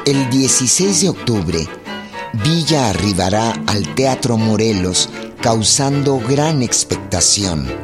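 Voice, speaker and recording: Slow Mexican male documentary narrator. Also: mid-range voice, animated. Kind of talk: scripted historical radio narration. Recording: loud music behind.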